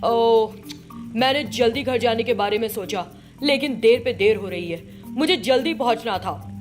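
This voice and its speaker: A woman's voice: on the high side, normal speed, natural voice. A woman voicing an anxious, chatty male office worker in a story, exclamatory and dropping in English phrases. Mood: frustrated. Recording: loud music behind voice.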